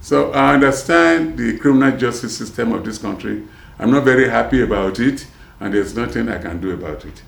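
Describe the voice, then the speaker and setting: Older Nigerian-born man with a blended accent, rambling interviewee, gravelly voice, roomy echo. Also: medium pitch, brisk. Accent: mixed Nigerian and American. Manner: rambling, with many restarts and trailing asides. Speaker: interviewee, former prison officer. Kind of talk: casual street interview.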